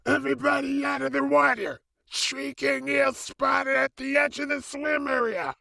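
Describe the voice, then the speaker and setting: A man playing an urgent, alarmed beach lifeguard shouting warnings in an audio drama, from off mic. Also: very high voice, fairly even intonation, a little slow, creaky, dry recording, studio quality, recorded from a distance, exaggerated voice.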